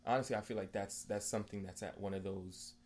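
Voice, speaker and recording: Mellow, lowish-voiced young man chatting. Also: steady tone, quick pace. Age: 20s. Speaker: discussion panelist. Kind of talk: casual opinionated chat.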